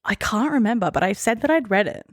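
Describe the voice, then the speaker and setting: A woman co-hosting a podcast, chatting casually about books in an expressive, giggly, self-deprecating way. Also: medium pitch, quick pace, no echo, clean studio sound. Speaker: podcast co-host. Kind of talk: casual book discussion chat.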